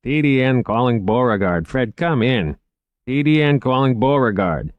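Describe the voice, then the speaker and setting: A man's voice: mid-range voice, lively intonation, normal speed, no echo, phone-quality sound, voice pushed up, lightly acted. A man voicing a brusque, impatient male security dispatcher. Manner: gruff, impatient.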